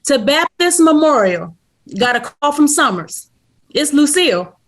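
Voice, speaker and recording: A woman's voice: high voice, sing-song, unhurried. A woman playing an urgent, commanding girlfriend in a dramatic screenplay table read.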